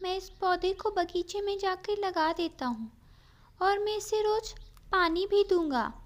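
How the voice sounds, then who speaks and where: A woman's voice: very high-pitched, measured pace, pitched above the performer's own voice. A woman voicing an impatient, eager little boy in a children's story, with a childlike, demanding manner. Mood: eager, obedient.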